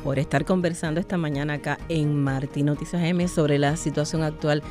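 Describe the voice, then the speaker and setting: A man's voice: a little high, steady tone, fairly quick, a little mellow, faint music behind. A man hosting a news interview, formal and direct. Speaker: news host.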